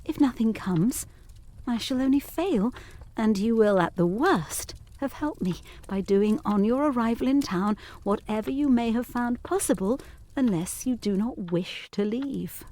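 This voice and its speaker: Intense young governess in a gothic drama. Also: female voice, on the high side, expressive, a little slow, dark tone. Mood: tense, urgent. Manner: formal, literary phrasing, urgent. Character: young governess, earnest, intense, anxiously determined. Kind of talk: audio drama acting of Victorian gothic ghost story.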